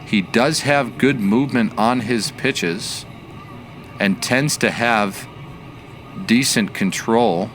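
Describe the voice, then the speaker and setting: A man giving baseball play-by-play commentary as the announcer, measured and analytical in his delivery. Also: medium pitch, fairly bright tone, faint music behind.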